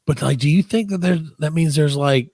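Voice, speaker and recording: A man's voice: fairly high pitch, brisk, darkish tone, slightly rough, no room sound. A man hosting a comedy podcast in casual, playfully argumentative banter. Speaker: podcast host.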